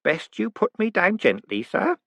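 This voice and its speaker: A shrill, fussy old postman. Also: wildly swooping pitch, measured pace, a bit bright, slightly rough, no room sound, exaggerated voice. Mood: nervous. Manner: shrill, agitated, high-pitched. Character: fussy little elderly male postman.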